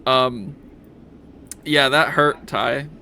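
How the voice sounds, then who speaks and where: A man's voice: mid-range voice, fairly even intonation, drawn-out words, vocal fry, a crowd in the background. A man casually narrating a tabletop game as game master, with a joking, self-deprecating manner.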